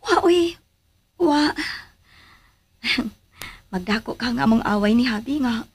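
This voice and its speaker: An actress with a Filipino accent playing a loving but uneasy young wife in a radio drama, musing hesitantly. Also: female voice, fairly high pitch, animated, slow pace, husky, full character voice. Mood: evasive. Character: loving young wife, uneasy and secretly doubting her husband. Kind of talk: Philippine radio drama acting.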